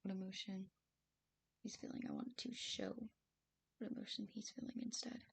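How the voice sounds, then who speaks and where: A woman with a raspy voice streaming as she writes, thinking aloud hesitantly with many fillers and soft laughs. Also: medium pitch, level tone, normal speed. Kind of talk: casual live-stream musing while writing. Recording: faint hiss behind the voice.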